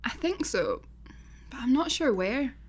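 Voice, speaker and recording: A woman's voice: high voice, very animated, measured pace, slightly rough, exaggerated voice. A woman playing an exasperated, conversational space traveller in a sci-fi comedy radio play.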